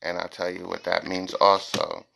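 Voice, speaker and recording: A man with a very deep voice and plenty of fry, cut with a forceful, bright edge. He reads tarot informally for his viewers. His delivery is blunt, with phrases repeated for stress. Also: sing-song, moderate pace, a little hiss or hum, low-quality recording. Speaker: tarot reader, host.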